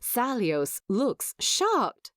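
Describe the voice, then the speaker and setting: A woman narrating scripted fan fiction as an expressive storyteller. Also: on the high side, very slow, no room sound, clean studio sound.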